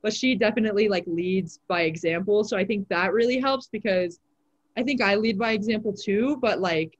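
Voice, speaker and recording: A teenage girl, a high school volleyball player, answering interview questions in a casual way with lots of "like" fillers. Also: moderate pace, highs cut off. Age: teens. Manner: chatty, many "like" fillers.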